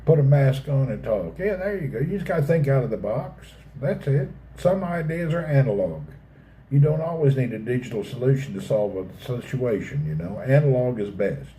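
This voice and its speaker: A middle-aged man with a Southern American drawl hosts his own live stream, joking with viewers and cussing casually. His voice is mellow and dark, not bright. A faint background hum runs under his talk. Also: medium pitch, lively intonation, normal speed, rough recording. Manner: folksy, joking, reads chat aloud, swears casually. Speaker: livestream host.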